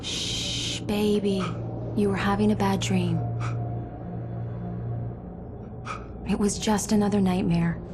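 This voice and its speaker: An actress playing a writer's caring wife in a video game, with a gentle, reassuring manner. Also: female voice, mid-range voice, fairly even intonation, measured pace, close to an everyday voice. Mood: comforting. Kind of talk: intimate dramatic game acting. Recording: music under the voice.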